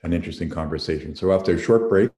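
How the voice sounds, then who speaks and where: A man hosting a panel discussion, asking measured, halting questions. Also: low voice, warm tone, roomy sound, a little hiss or hum. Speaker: host, foundation president.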